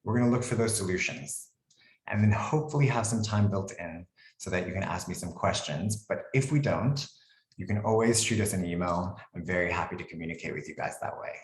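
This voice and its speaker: A man with an American accent, a lawyer presenting a webinar in a relaxed, conversational way. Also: sits low, a little fast, roomy sound, a little hiss or hum, rough recording. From United States. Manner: relaxed, conversational, frequent ums and "cool".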